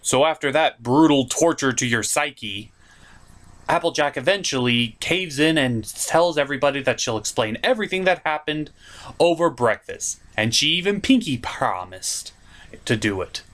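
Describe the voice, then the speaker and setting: Lively theatrical young man reviewing cartoons. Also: mid-range voice, moderate pace. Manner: theatrical, jokey, opinionated. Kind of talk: comedic review with voice gags.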